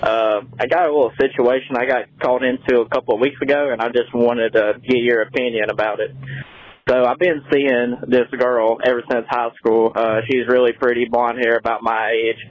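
A man from Mississippi telling his story over a call. His voice sits mid-pitch, and the audio is muffled and lo-fi. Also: flat delivery, a little fast. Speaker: caller. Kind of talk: phoned-in voicemail.

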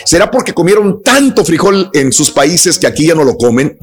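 Animated, high-pitched male radio host. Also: normal speed, faint music behind. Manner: repeats phrases, addresses listeners directly. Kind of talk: conversational live radio talk. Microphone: close studio microphone.

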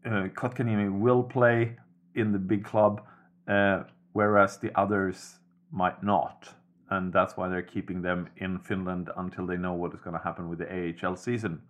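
A man hosting a casual podcast chat about hockey, talking things through at an even, measured pace. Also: sits low. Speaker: podcast host.

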